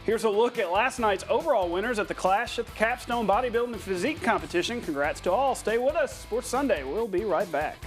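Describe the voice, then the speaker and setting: A man working as a sports anchor, calling highlights in a broadcast style with casual, jokey asides. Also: lively intonation, fairly quick, few pauses, faint music behind.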